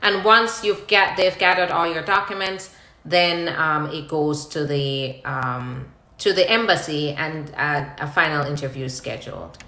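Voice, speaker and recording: A woman with a slightly low, bright and forceful voice, recorded in an echoey room. She answers questions as an attorney on a live stream, explaining things step by step in a conversational style. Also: unhurried. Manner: reads out viewer questions, then explains step by step. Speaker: immigration attorney hosting a live Q&A. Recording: roomy, echoing live-stream sound.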